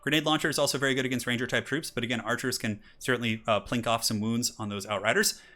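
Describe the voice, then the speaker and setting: A man's voice: medium pitch, fairly even intonation, quick pace, pushed and bright. A man in his thirties hosting a live stream, reading donations in a chatty way with frequent laughs, then casting a strategy game match with hyped intros. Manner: chatty, reads donations aloud, laughs often. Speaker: streamer and tournament caster. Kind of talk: casual live-stream chat and game commentary.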